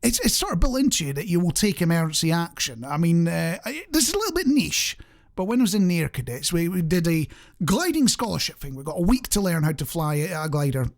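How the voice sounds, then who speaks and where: A man's voice: on the high side, big pitch swings, fast talker, creaky. A man with a British accent presenting a radio show in a casual, rambling, conversational and self-deprecating way.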